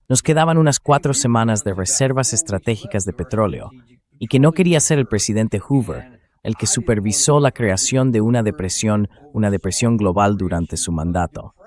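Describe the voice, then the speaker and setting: A man's voice: lowish voice, a little mellow. A synthetic male voice-over reading a translated conversation about war and politics in an interview style.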